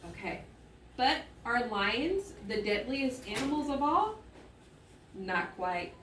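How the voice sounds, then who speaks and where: A roomy, slightly noisy recording catches a woman at some distance from the mic. She is an elementary teacher leading a read-aloud for children. She muses out loud as she goes and keeps checking that her listeners follow. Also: on the high side, very animated, slow pace. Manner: thinking aloud with musing hums and exclamations. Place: classroom with echo.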